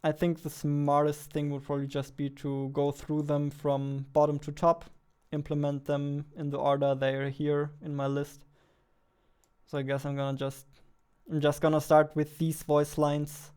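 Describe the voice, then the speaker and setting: A young man talks casually through his work at a computer, thinking aloud and joking at his own expense. His voice sits fairly high for a man, is very clear, and stays in a narrow range of pitch. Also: completely flat delivery, a little slow, darkish tone. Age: young adult. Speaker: indie game developer vlogging. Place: indoors at a computer desk.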